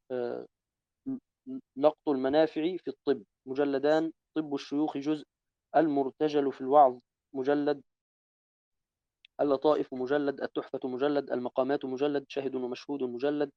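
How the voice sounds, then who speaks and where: A man's voice: mid-range voice, no echo, muffled recording. Man reading a long list steadily. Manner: steady listing, pausing to correct slips. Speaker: student reading a text aloud. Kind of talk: reading a classical text to a teacher.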